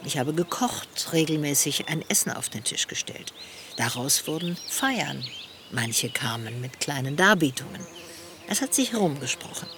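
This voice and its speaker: A woman's voice: deep voice, big pitch swings, moderate pace. A woman playing a generous host of a garden refuge, speaking reflectively and earnestly in a radio drama interview. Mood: earnest, fulfilled. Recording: noisy outdoor ambience with birds and insects.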